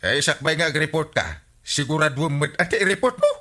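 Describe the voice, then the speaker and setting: Comedy radio village captain: Ilocano accent, wildly swooping delivery, forceful bright voice, bossy and scolding. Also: male voice, fairly high pitch, very animated, brisk. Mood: scolding, exasperated. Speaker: radio drama actor. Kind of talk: Filipino radio comedy drama acting.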